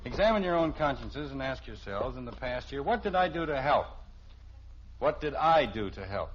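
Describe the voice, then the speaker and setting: A man's voice: mid-range voice, big pitch swings, normal speed. An American actor playing a blustering, sarcastic middle-aged suburban father in a 1950s radio sitcom. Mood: fussy, pompous. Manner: theatrical, sarcastic, fussy. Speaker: lead actor in a family sitcom. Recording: muffled, faintly hissy old radio recording.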